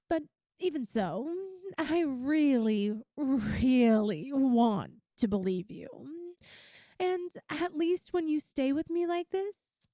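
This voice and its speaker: A woman playing a doting, possessive young yandere girlfriend in an ASMR-style roleplay, speaking to the listener in a cutesy, coaxing, teasing way with drawn-out emphasis. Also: sits high, dark tone, no room sound, studio quality. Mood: clingy, adoring.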